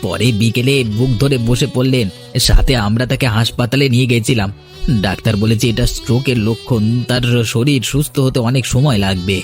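A man's voice: medium pitch, brisk, soft background music, natural voice. A man playing a worried, respectful household servant in a cartoon fairy tale.

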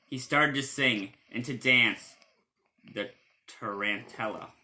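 A man, reading a children's storybook aloud in an animated style. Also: medium pitch, slow pace. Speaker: storybook reader.

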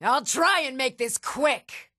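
High-pitched, fierce warrior woman. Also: animated, slow, full character voice. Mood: taunting. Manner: shouted taunts, grunts and threats. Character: fierce, taunting female warrior in battle. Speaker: video game voice actor. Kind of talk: fantasy game combat barks.

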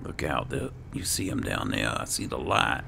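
Deep, gravelly man narrating gameplay. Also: big pitch swings, normal speed, sharp tone, a little hiss or hum. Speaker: gaming video host playing in character. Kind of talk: roleplay gameplay commentary.

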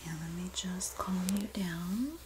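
A woman playing a sassy, supportive Jersey girl in a soft-spoken, whispered ASMR roleplay, with a New Jersey accent and a gossipy, soothing manner. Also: on the low side, noisy background, natural voice. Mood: soothing, reassuring. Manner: gossipy, flattering, soothing, drawn-out delivery. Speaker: ASMR performer.

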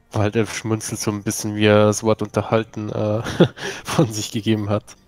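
A man's voice: lowish voice, completely flat delivery, moderate pace, darkish tone, no echo, faint music behind. A man speaking in character in a tabletop role-playing game, hesitant and searching for words.

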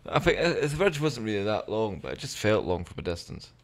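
Man, Scottish accent, low pitch, casual game commentary, sweary, rambling. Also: very animated, a little fast. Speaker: gaming video creator.

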